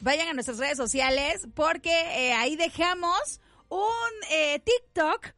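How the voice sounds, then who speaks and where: A woman's voice: sits high, expressive, slow, sharp tone, no echo. A Mexican woman in her thirties co-hosting a morning radio show, playful and laughing, acting mock-offended in teasing banter. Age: 30s. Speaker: radio host.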